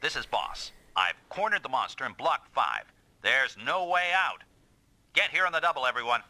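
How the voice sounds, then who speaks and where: A man's voice: mid-range voice, sing-song, moderate pace, pushed and bright, no room sound, full character voice. A man voicing a stern, commanding squad boss in an anime-style video game dub, curt and authoritative.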